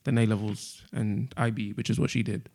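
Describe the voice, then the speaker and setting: A man in his twenties from London, co-hosting a podcast and speaking in a measured, matter-of-fact way. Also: on the low side, steady tone, vocal fry, darkish tone. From London, England. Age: 20s. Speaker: podcast co-host. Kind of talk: casual chat.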